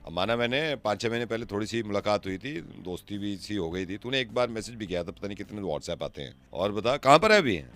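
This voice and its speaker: A man's voice: lowish voice, lively intonation, brisk, few pauses, a bit bright, slight background noise. A man who hosts prank calls, talking casually and arguing his point insistently. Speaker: prank call radio host. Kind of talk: prank call acting, casual chat.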